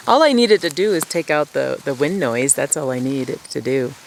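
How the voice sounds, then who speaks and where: A woman with a low voice, chatting casually to camera outdoors at her forest camp through a camera-mounted mic, rambling and self-deprecating. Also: a little hiss or hum, rough recording. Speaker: vlogger living at a wilderness camp.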